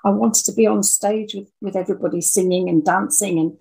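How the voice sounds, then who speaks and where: A woman's voice: medium pitch, steady tone, fairly quick, creaky, mellow, husky, poor audio. An older woman from the English Midlands, with an English accent, talking conversationally as an interview guest and telling stories. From Birmingham, English Midlands. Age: 60s or older. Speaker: interview guest, audio drama writer.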